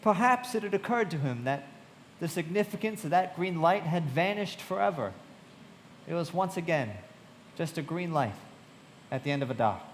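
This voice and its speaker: A man playing a frank young storyteller in a live radio play, heard in a long, noisy hall reverb. His voice sits a little high and moves in lively swings as he delivers literary narration and dialogue. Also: moderate pace, lightly acted. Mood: reflective, descriptive. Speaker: narrator and actor. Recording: long hall reverb, clear background noise.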